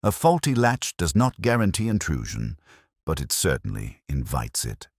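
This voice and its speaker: Very deep-voiced male mystery narrator. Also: huge pitch swings, husky, no echo, studio quality. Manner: measured, literary, solemn storytelling. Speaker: audio drama narrator. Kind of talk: scripted first-person detective story narration.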